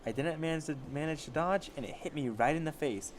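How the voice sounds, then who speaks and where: A man's voice: fairly high pitch, fairly quick, background noise. A man casually reading fan fiction aloud as an amateur story reader, stumbling over the text and correcting himself.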